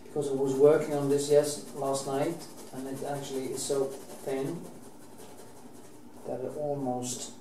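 Norwegian-accented painter, middle-aged; rambling restarts, casual swearing; distant camera mic, faint room hiss. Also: male voice, mid-range voice, little pitch movement, measured pace, reverberant. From Norway. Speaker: painting teacher. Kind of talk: painting demonstration. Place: painting studio, indoors.

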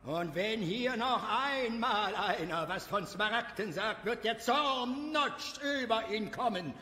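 A man's voice: high voice, animated, slow pace, bright, forceful tone, slight background noise, exaggerated voice. A man playing a pompous, wrathful village preacher who declaims his words. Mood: indignant. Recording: reverberant church-like hall, faint music.

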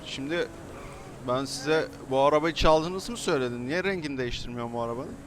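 A man in casual, jokey gameplay chat, his voice at an ordinary middle pitch. He banters in an animated, improvised roleplay way, with faint vehicle noise and game ambience behind him. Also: a little fast. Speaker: gaming video streamer.

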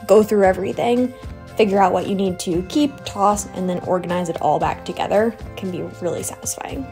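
A woman's voice: mid-range voice, mellow. Young American woman narrating over music. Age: young adult. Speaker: cleaning vlogger. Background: background music.

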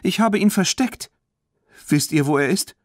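An anxious young girl in a children's storybook, acted by a male narrator. The voice is raised in pitch and very animated, earnest and full of feeling. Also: a little slow, no echo, studio quality. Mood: distressed. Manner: earnest, emotional. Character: anxious young girl, worried about her beloved foal.

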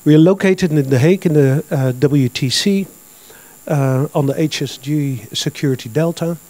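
A man in his fifties with a Dutch accent, giving a business presentation at a conference through a handheld microphone, talking plainly and filling pauses with uh and um. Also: fairly high pitch, dark tone. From Netherlands. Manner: plain, matter-of-fact, with frequent uh and um. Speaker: conference speaker. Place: large conference hall with audience.

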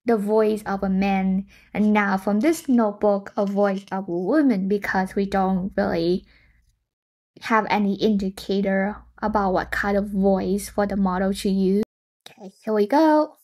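A woman's voice: medium pitch, unhurried, a little mellow. A young woman hosting a casual screen-share tutorial, thinking aloud and reacting to results as she goes. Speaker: tech tutorial host. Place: indoor room.

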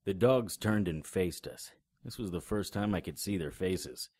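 An actor in an audio drama playing a cynical, sardonic, hard-boiled private investigator with a terse delivery. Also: male voice, sits low, animated, a little slow, darkish tone, breathy edge, no room sound, full character voice. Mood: grim narration. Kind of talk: audio drama acting with noir narration.

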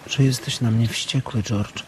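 An actor playing a simple-minded, trusting grown farmhand in a radio drama, childlike and pleading. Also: male voice, mid-range voice, steady tone, measured pace, heavy background noise, close to an everyday voice. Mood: hopeful, eager. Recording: music clearly behind.

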